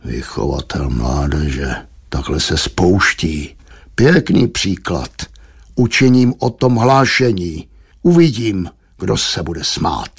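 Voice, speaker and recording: A man's voice: medium pitch, big pitch swings, slightly rough. A man voicing a pompous, officious town administrator in an audiobook, blustering and grumbling. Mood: offended muttering.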